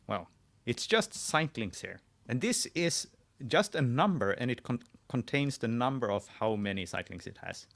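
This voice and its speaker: A man with a Swedish accent hosting a live screen-share tutorial, thinking aloud as he types, often pausing and restarting his sentences. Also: mid-range voice. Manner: thinking aloud while typing, frequent restarts. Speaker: host.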